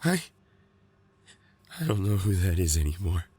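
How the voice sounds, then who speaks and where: A man playing an anguished, guilt-ridden young hero in an audio drama, with a husky, gravelly, halting and emotional delivery. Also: deep voice, very animated, warm tone, exaggerated voice. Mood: distraught.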